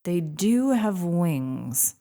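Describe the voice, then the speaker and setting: Anxious female crew member actress, vocal fry, swinging intonation, speculative, sci-fi radio play. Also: fairly low pitch, very animated, very slow, dark tone, full character voice. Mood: alarmed. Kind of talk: sci-fi audio drama acting.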